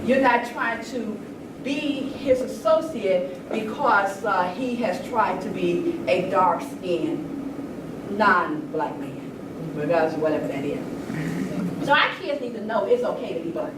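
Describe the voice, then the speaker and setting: A woman's voice: medium pitch, lively intonation, measured pace. An older woman giving an impassioned, informal talk, earnest and emphatic, with a conversational storytelling way of speaking. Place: indoor room at a public event. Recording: echoey room, distant mic, noisy background.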